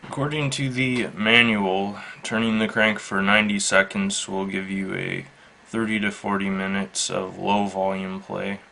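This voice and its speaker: A man with a gravelly, even-toned voice walks through a product casually and without a script. His delivery is slow, measured and halting, with a matter-of-fact manner. There is faint noise behind him. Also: sits low, low-quality recording. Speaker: product reviewer. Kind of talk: casual unscripted product demonstration.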